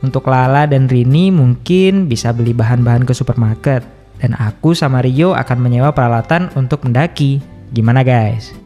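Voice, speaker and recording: A man playing an earnest, polite college student who explains and smooths things over, in an animated story. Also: medium pitch, moderate pace, faint music behind, close to an everyday voice. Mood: eager planning. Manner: conciliatory, explanatory. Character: earnest, polite male college student, group's careful planner. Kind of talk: animated horror story dialogue.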